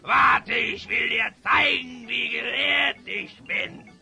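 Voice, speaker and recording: A man's voice: extremely high pitch, slow, vocal fry, sharp tone, shouting, faint music behind, exaggerated voice. An actor playing a boastful, bullying drunken sword fighter in a fantasy audio drama, bellowing his threats. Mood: angry, boastful.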